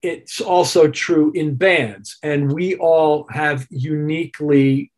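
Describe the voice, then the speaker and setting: A man with a husky voice talking thoughtfully and articulately as a musician being interviewed. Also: fairly high pitch, steady tone, slow pace. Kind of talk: reflective conversational interview.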